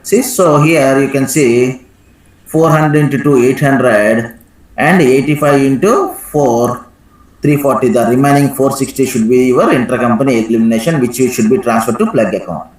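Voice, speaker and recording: Male software trainer in an echoey room. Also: mid-range voice, normal speed. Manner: instructive, frequently checks with "right?". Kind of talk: live screen-share software tutorial with questions to students.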